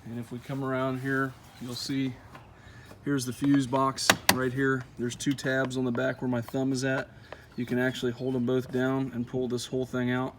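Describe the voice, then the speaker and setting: A man's voice: mid-range voice, normal speed, background noise. A man giving a casual, folksy how-to walkthrough of a truck install outdoors, with frequent "uh" fillers. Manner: relaxed, lots of "uh" fillers, folksy. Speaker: truck owner showing an install.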